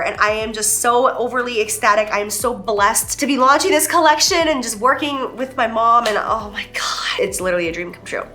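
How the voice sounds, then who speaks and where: A woman's voice: a little high, bright, forceful tone. Gushing, stuffy-nosed woman vlogger. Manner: gushing, emotional, talks fast. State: nasal from a head cold. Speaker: beauty vlogger. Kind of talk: casual chat.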